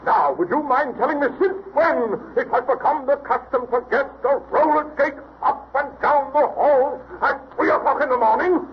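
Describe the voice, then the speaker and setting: High-pitched pompous English gentleman. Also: normal speed, hardly any pauses. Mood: indignant. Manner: pompous, blustering. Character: indignant, blustering titled English hotel guest. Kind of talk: old radio comedy acting. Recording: muffled, noisy old radio recording.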